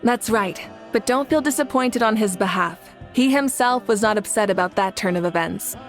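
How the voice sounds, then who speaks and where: A woman's voice: medium pitch, expressive, normal speed, faint music behind, natural voice. An actress voicing a confident female ruler in a game, proud and commanding. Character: confident fiery female ruler, warm champion. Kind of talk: game cutscene voice acting.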